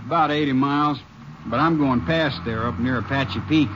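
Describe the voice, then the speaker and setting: An actor playing a laconic, folksy hitchhiker and robber in an old radio western drama. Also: male voice, medium pitch, normal speed, highs cut off, lightly acted. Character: menacing male hitchhiker turned armed robber, rustic Texan. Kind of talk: 1950s radio drama acting. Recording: noisy old radio recording, car motor effects.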